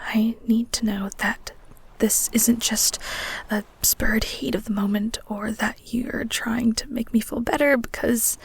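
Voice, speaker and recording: A woman playing an earnest, vulnerable, secretly lovestruck married woman of the 1940s in an intimate romantic audio roleplay, with breathy, halting, half-whispered delivery. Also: on the high side, exaggerated voice.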